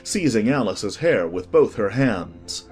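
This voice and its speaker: Male narrator, slightly husky, lively intonation, expressive storybook reading, faint music behind. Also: fairly low pitch, takes it slowly.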